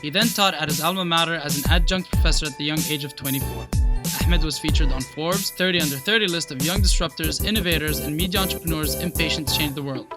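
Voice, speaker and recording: A male host with a fairly high voice delivers a prepared, earnest introduction full of admiration, then moves into interview questions. Clear music plays underneath him. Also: fast. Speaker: podcast host.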